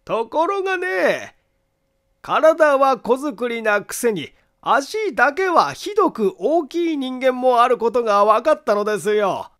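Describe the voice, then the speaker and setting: A man's voice: very high-pitched, sing-song, a bit bright, voice pushed up, exaggerated voice. A man voicing an odd, amused, tactless eccentric in an audiobook mystery reading. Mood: amused, musing. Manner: chuckling to himself, drawn-out storytelling.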